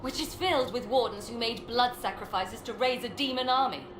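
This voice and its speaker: A woman's voice: fairly high pitch, fairly quick, noisy background, close to an everyday voice. A woman playing a stern, righteous rebel mage in a fantasy video game, firm and accusatory. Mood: indignant. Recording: echoey, music behind.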